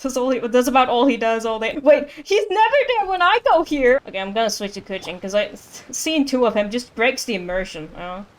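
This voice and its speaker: A woman game streamer giving casual, excitable, giggly commentary on a playthrough. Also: on the high side, a little fast, hardly any pauses. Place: live game stream with game music behind.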